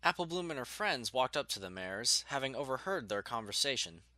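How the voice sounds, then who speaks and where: A man narrating a scripted story in an expressive storytelling manner. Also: mid-range voice, a little fast, no room sound.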